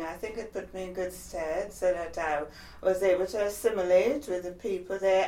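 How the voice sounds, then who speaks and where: A woman in her seventies from Trinidad, recalling her life in a measured, reflective oral history interview. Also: lowish voice, reverberant, recorded from a distance. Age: 70s. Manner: measured, reflective, trailing off softly.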